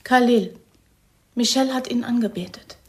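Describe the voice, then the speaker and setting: A woman's voice: fairly high pitch, a little slow, a little mellow, natural voice. An actress in a radio drama playing a distraught, defiant young woman under interrogation, emotional but guarded. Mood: shaken, guarded. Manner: emotional, guarded, short answers.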